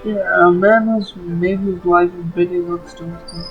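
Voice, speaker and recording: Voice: medium pitch, fairly even intonation, takes it slowly, narrow, tinny audio. A gamer giving informal let's-play commentary, peppering the talk with filler words. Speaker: gaming YouTuber. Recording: faint game music behind.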